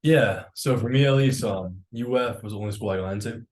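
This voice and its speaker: A man's voice: lowish voice, brisk, darkish tone. A man in his twenties with an American accent, casually answering interview questions as a college student. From Jacksonville, United States. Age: 20s.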